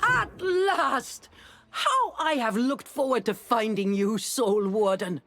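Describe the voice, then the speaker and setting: A woman's voice: a little high, animated, takes it slowly, a bit bright, a little hiss or hum. An actress playing a brash, impatient female scholar in a video game, speaking in a theatrical, haughty, emphatic way. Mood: eager, exasperated. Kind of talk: video game character dialogue.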